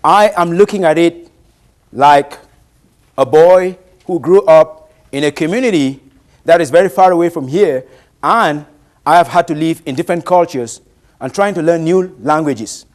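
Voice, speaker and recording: A fairly high-voiced man with a Cameroonian accent, who grew up speaking a Bantu language, delivers a motivational presentation. He speaks deliberately and with emphasis, posing rhetorical questions to his listeners as a practised presenter would. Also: darkish tone. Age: late 30s.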